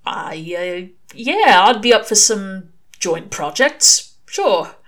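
A woman's voice: medium pitch, sing-song, slow pace, fairly bright tone, full character voice. A woman voicing a laconic, sardonic male demon with a slangy, offhand, defiant manner. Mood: hesitant.

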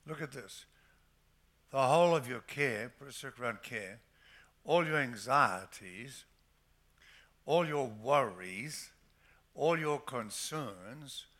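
An older man preaching a sermon to his church, stressing scripture phrases slowly and emphatically. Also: medium pitch, sharp tone. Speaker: pastor.

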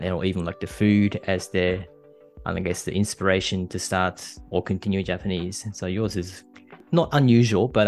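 A man hosts a podcast interview, his questions hesitant and full of small fillers. His voice sits very low. Light background music runs quietly under his talk. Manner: hesitant, many ums and guesses. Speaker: podcast host. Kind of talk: interview hosting.

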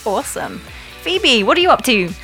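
A woman's voice: a little high, sing-song, normal speed, bright, forceful tone, soft background music. A woman hosting a podcast casually, with an enthusiastic, encouraging manner. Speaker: podcast host.

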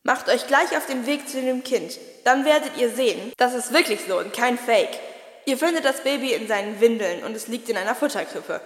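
A woman's voice: on the high side, animated, moderate pace. A woman playing a cheerful, capable archangel who narrates a Nativity audio play in plain, youthful storytelling. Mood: storytelling, assured.

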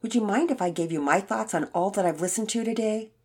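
A woman voicing a patient, kindly father who gently keeps the peace in a children's story. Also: medium pitch, fast, breathy edge, voice pushed up, natural voice. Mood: gently reproving.

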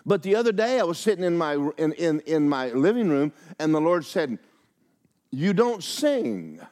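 Older pastor, folksy storyteller, lively swooping delivery with voiced-out quotes. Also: male voice, on the high side, moderate pace. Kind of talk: sermon with personal stories.

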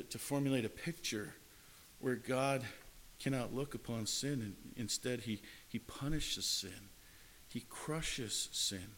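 A man's voice: medium pitch, a bit bright, slight background noise, poor audio. A man preaching a sermon in an earnest way, often repeating and restarting his words. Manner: earnest, repetitive phrasing with frequent restarts.

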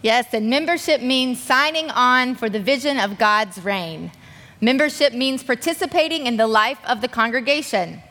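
A woman pastor reciting liturgy and leading a prayer in a measured, solemn manner. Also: a little high, pushed and bright. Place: large church sanctuary. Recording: church hall reverb with congregation.

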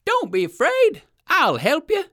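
Lady doing a nice helpful crow for a kids story, way higher pitch, very animated, reassuring. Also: measured pace, no room sound, studio quality, exaggerated voice.

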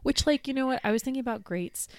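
A woman's voice: high-pitched, quick pace, a little mellow, no room sound. A woman leaving a casual voice message, gushing and rambling in a slangy way. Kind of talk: casual spoken birthday voice message.

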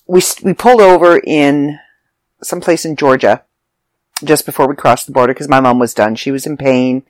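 A congested, slightly raspy speaker telling a rambling, chatty travel story to listeners. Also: mid-range voice, dry recording, rough recording. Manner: chatty, rambling, self-interrupting. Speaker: vlogger. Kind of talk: casual storytelling monologue. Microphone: close mic, audio only.

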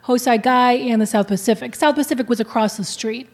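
High-voiced chatty woman co-host. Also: fairly quick, darkish tone. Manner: chatty, skeptical, reads aloud. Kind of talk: casual chat.

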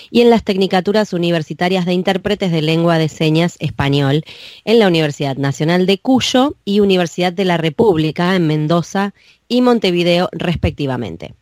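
A woman with an Argentine accent hosting a casual podcast interview, chatty and quick to laugh. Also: on the low side, a little mellow, no echo, clean studio sound. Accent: Argentine Spanish. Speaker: podcast host.

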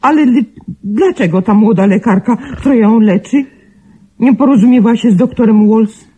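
An actress in a radio drama playing a concerned, suspicious woman with a husky voice, questioning insistently. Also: fairly high pitch, moderate pace, mellow. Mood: worried, suspicious.

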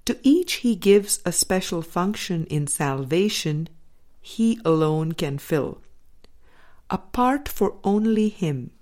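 A woman reading a spiritual book aloud as scripted narration, in a measured, deliberate way with pauses between phrases. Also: on the low side, expressive, a little mellow. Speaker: audiobook reader.

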